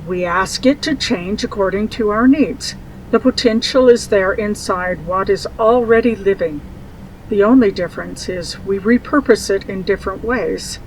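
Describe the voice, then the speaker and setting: Mage mother played by a woman, earnest and measured, mid-range voice, fantasy audio drama, faint background noise. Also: mid-range voice, close to an everyday voice, poor audio. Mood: explaining. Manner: measured, solemn. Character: earnest instructing mage mother, gently admonishing.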